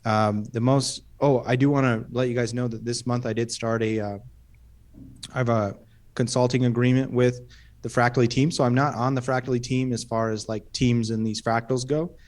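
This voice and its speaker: A man giving a relaxed, self-deprecating update in an online community meeting. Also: mid-range voice, normal speed.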